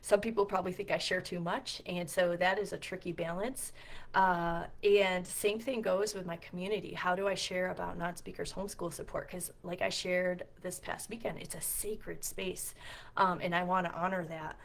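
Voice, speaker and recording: A woman's voice: on the low side, steady tone, normal speed, creaky. A middle-aged woman with a scratchy voice talking casually and earnestly straight to her viewers, often putting questions to them. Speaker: homeschool community founder and co-leader. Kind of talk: casual direct-to-camera vlog talk.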